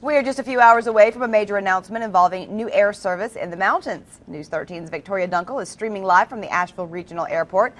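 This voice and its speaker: A woman's voice: mid-range voice, fast talker, few pauses. Woman news anchor with mid-range voice. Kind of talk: scripted news anchor introductions and handoffs. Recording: faint background noise.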